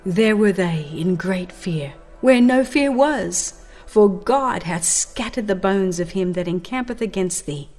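Woman narrating an audiobook, solemn and dramatic, medium pitch, music playing behind her. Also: lively intonation, darkish tone. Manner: solemn, dramatic, measured storytelling delivery. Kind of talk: dramatized scripted narration of a religious history book.

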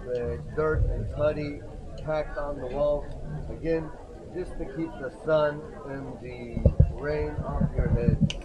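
A man guiding a tour group through ruins outdoors, telling the story in a lively way and asking the group questions. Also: a little high, noisy background. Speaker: tour guide. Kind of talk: guided site tour explanation. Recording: outdoor crowd chatter behind.

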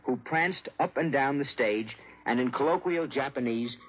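A man's voice: medium pitch, moderate pace, breathy edge. A man telling a scripted human-interest news report in 1940s radio style, on a tinny old recording. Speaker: foreign news correspondent.

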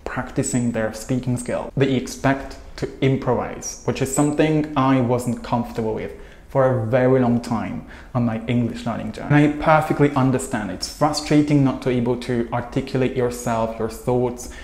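A man, teaching English to camera in an earnest, steady way. His voice sits at a middling pitch. The lapel-mic sound carries a noticeable roomy echo from a small room at home. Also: moderate pace. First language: Hungarian. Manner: earnest, steady, listing points in long runs. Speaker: English coach. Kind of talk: scripted video lesson to camera.

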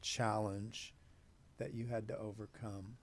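A man's voice: fairly low pitch, flat delivery, slow pace, slight background noise. A man hosting an interview show, asking questions and offering encouraging remarks. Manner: encouraging, affirming, short interjections. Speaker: talk show host. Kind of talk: casual interview chat.

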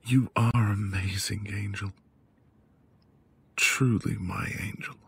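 A man acting a quiet, tender professor husband in an intimate boyfriend ASMR roleplay, soft-spoken and hesitant. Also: fairly low pitch, steady tone, slow pace, creaky, breathy edge, heavily acted. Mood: tender, reassuring. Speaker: audio roleplay voice actor.

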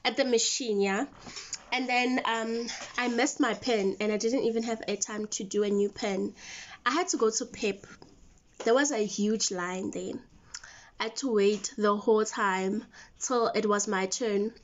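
A woman's voice: medium pitch, steady tone, measured pace. A woman in her twenties with a South African accent, chatting casually and informally to camera as a vlogger. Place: mostly a bedroom at home. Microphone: phone camera at arm's length. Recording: dull lo-fi phone audio.

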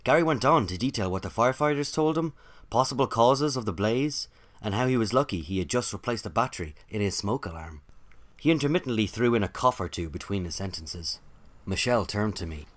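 Low-pitched male narrator, an actor, dry and put-upon, telling an office story for audio drama. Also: lively intonation, brisk, lightly acted. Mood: wry. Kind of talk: scripted first-person narration.

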